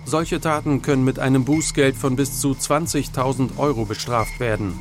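A man reading a scripted voice-over for a TV news report in a measured, even way. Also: mid-range voice, music in the background. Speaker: news narrator.

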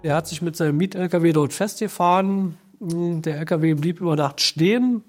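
A man with a high-pitched voice gives an interview outdoors in a forest. He explains things step by step, often padding his sentences with filler words. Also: moderate pace, dry recording, studio quality, soft background music. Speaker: forestry official. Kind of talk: on-camera interview.

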